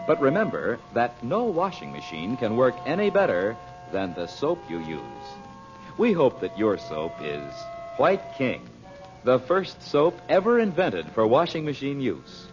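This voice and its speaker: A male announcer reading a commercial in 1940s radio style. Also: lowish voice. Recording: muffled old radio recording. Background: music.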